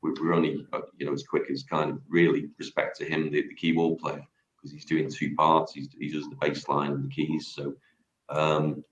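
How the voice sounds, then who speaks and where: A man with a deep voice, chatting casually as a guest singer, modest and rambling with many restarts. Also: monotone, normal speed, roomy sound, dull audio. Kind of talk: casual radio chat.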